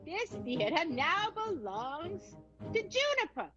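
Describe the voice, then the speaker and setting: Lady doing haughty older schemer, high swoopy slow voice, radio play, muffled lo-fi. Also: very animated, over music, exaggerated voice. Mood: calculating, pleased. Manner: deliberate, knowing, theatrical. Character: scheming, haughty older female tightrope performer. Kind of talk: improvised radio play acting.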